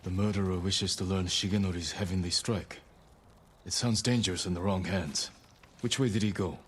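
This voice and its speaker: Game cutscene, male actor as resolute young samurai, deep grave voice, clipped commands, noisy rainy backdrop. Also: steady tone, moderate pace, natural voice. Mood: grim resolve. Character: young stern samurai lord, resolute and protective. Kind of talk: dramatic game cutscene acting. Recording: noisy outdoor rain ambience.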